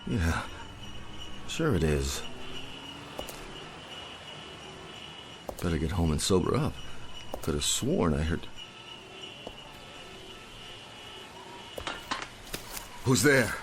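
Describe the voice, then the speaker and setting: An actor playing an aging, tender-hearted, down-and-out drinker in an emotional radio drama style. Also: male voice, mid-range voice, sharp tone, a little hiss or hum. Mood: tipsy, startled. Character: aging, weary, drunken, tender-hearted down-and-out man. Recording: radio drama with music underneath.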